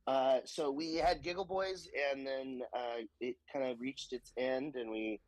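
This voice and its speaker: A man's voice: medium pitch, fairly even intonation, a little slow, a little mellow, no room sound, rough recording. A young man and stand-up comedian talking casually on a podcast, laughing often and joking crudely. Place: Austin, Texas.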